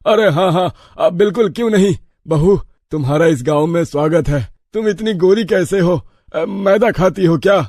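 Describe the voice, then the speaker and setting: A man's voice: high voice, moderate pace, darkish tone, no echo, studio quality. A man voicing a nosy, naive and jovial village tea-stall uncle in a comic moral story, with a rustic manner. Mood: delighted, naive.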